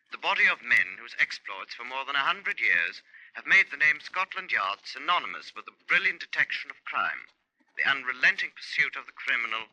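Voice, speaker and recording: A man narrates formally in a 1950s radio manner. His voice is sharp and forceful, solemn and measured yet with strongly varied pitch. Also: low-quality recording.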